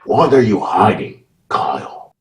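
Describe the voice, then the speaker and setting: A man playing a cold, preachy killer who speaks through a puppet, slow, solemn and taunting in a horror audio drama. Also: fairly low pitch, big pitch swings, distant mic.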